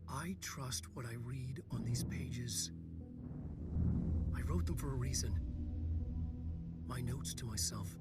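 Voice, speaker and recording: Behind loud music, a distant male voice of an actor plays a brooding writer in a horror game. He delivers cryptic prose in terse fragments, often hushed, with intense, ominous gravity. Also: mid-range voice, takes it slowly, long pauses, natural voice. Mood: brooding, searching. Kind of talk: dramatic literary monologue.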